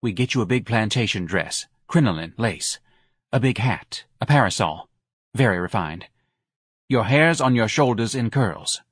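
A man's voice: fairly low pitch, animated, normal speed, slightly rough, no echo, studio quality. A man voicing a hard, scheming woman who runs a bar, curt and browbeating, in an audiobook. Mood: coaxing, bossy.

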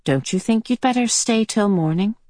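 A woman voicing a calm, restrained mother who speaks quietly with concern. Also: medium pitch, normal speed, no echo, clean studio sound, pitched above the performer's own voice, natural voice. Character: calm, worried mother, middle-aged woman.

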